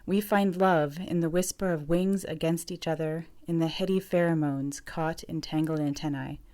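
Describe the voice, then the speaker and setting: A woman's voice: low-pitched, fairly even intonation, normal speed, darkish tone. A woman giving a scripted reading of lyrical, literary prose. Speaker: reader of a literary piece.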